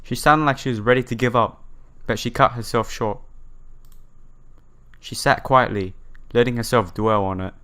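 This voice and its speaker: A man's voice: mid-range voice, moderate pace, long pauses, a bit bright, clean tone. A man with a sore, slightly broken voice doing a casual game playthrough, reading visual novel text aloud and adding his own comments. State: sore throat. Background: game music.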